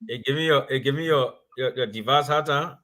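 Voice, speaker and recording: A co-host joins a live stream chat with a mid-pitched voice. The talk is light, teasing banter. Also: fairly quick, bright, forceful tone. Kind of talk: casual chat livestream.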